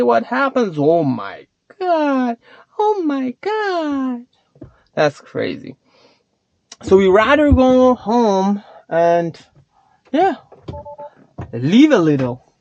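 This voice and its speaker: A man's voice: high voice, very animated, takes it slowly, a little mellow, no echo. A man whose first language is not English, rambling and swearing casually as he talks to his chat in a vlog. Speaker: vlogger. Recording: dull lo-fi sound.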